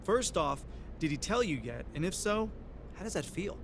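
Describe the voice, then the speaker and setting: A man's voice: sits high, sing-song, normal speed. A man playing a friendly, slightly hesitant sports reporter in a video game. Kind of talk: naturalistic video game cutscene acting. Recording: clear vehicle-like background noise.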